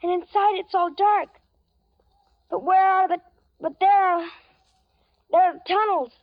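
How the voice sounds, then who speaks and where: A spooked little boy, super high voice, dry dull old radio sound. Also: steady tone, unhurried, dry recording. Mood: eerie. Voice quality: high, childlike. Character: frightened young boy, sullen and haunted. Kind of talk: 1940s radio acting.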